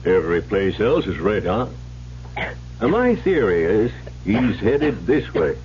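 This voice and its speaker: An actor playing a folksy, shrewd and confident country sheriff in an old-time radio drama. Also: male voice, lowish voice, very animated, slow, darkish tone. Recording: muffled, noisy old radio recording.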